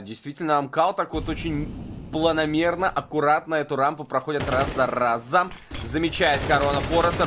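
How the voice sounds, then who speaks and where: A man's voice: on the high side, background noise. A man giving live play-by-play commentary as an esports caster.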